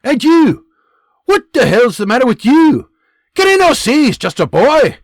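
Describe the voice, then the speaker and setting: A man voicing a gruff Scottish army sergeant who barks orders and scolds his men in a Scottish accent. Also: very high-pitched, huge pitch swings, unhurried, dry recording, clean studio sound, voice pushed up, exaggerated voice. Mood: barking. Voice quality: barking, scolding.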